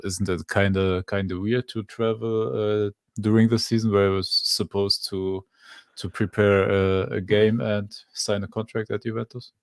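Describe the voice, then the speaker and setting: A man's voice: on the low side, a little mellow, clean tone, no echo. A man co-hosting a sports podcast, speaking hesitantly with many restarts and fillers. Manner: hesitant, restarts a lot with uhs. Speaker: podcast co-host. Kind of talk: casual sports podcast banter.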